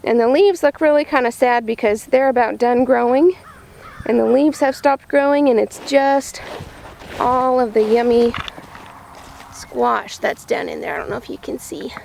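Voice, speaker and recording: A woman's voice: on the high side, normal speed, gravelly, darkish tone, slight background noise. A woman farmer giving an upbeat show-and-tell tour for preschoolers, explaining things in simple words. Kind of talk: simple child-directed show-and-tell narration. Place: vegetable farm, in growing tunnels and outdoor beds.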